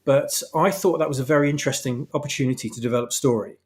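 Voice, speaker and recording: A man with a mid-pitched voice talks as a guest author in a casual interview. He explains haltingly, then leans on repeated phrases for emphasis. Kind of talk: casual explanatory chat about own story.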